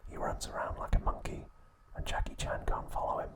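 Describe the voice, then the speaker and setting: A man whispering a scripted recap as an ASMR host, in an unvoiced, breathy whisper with slow, measured phrasing. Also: fairly bright tone.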